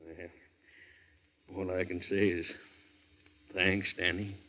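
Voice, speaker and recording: Slow-talking, kindly boat captain. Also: male voice, deep voice, level tone, heavily acted. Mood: regretful. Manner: hesitant, folksy, drawn-out delivery. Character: kindly, easygoing boat captain, loyal and humble. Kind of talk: 1940s radio drama acting. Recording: dull 1940s radio recording.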